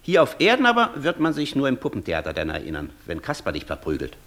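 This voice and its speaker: An actor playing a proud, worldly scholar in a theatrical radio play, speaking with assured, ironic declamation. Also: male voice, medium pitch, huge pitch swings, fast, fairly bright tone, no room sound. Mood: commanding, goading.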